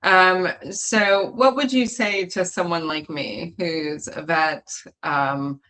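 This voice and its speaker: Brief: woman veteran host, low voice, halting and filler-heavy as she works toward her question. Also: steady tone, a bit bright. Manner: halting, many ums and uhs. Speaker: show host, Army veteran. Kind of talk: livestream interview questions.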